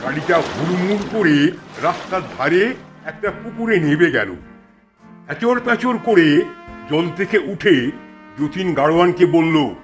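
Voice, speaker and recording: A male storyteller, played by an actor narrating a cartoon ghost story. He speaks slowly and deliberately in a fairly high voice, with music clearly behind him. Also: expressive. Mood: calm storytelling. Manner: measured storytelling. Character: male storyteller recounting his college-days village ghost tale.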